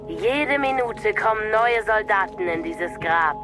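A cold, arrogant woman villain in a sci-fi radio play. She taunts her opponent with condescending disdain, and music runs clearly behind her voice. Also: mid-range voice, expressive, unhurried, fairly bright tone. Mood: taunting. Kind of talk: German audio drama villain acting.

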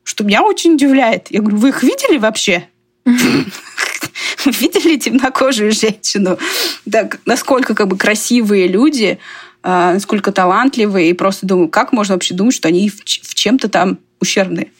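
A woman's voice: medium pitch, lively intonation, moderate pace, slightly rough. A woman from Russia talking casually in a conversation, chatty and digressive, often laughing as she talks.

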